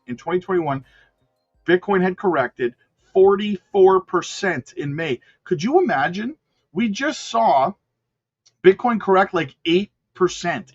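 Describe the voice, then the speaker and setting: A man's voice: high voice, breathy edge, lo-fi sound. A man hosting a livestream, reading market news and chatting to viewers, losing his voice and peppering his talk with "right?" and "guys". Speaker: crypto livestream host. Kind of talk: livestream commentary mixing read news and chat.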